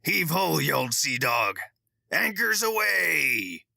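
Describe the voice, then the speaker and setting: A man playing an unhinged man who thinks he is a pirate, bellowing sailor slang slowly. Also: a little high, big pitch swings, creaky, bright, forceful tone, no echo, studio quality, voice pushed up, full character voice.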